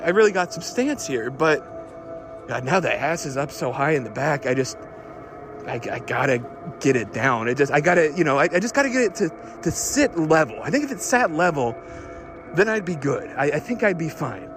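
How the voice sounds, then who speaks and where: A man acts out an obsessive car fanatic in a fairly high male voice, with music playing underneath. He rambles through an audio diary, stammering and restarting with breathless eagerness. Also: sing-song, moderate pace. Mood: fixated, uneasy. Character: excitable, obsessive man smitten with his old car.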